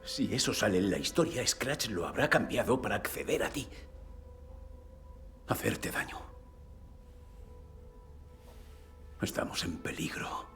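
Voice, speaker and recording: A man's voice: on the low side, big pitch swings, moderate pace, a bit bright, soft background music. An actor with a Castilian Spanish accent playing a haunted male novelist with a fractured memory, intense and grave, in a dubbed video game. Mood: grave, troubled. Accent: Spanish (Spain). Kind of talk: dramatic video game dubbing.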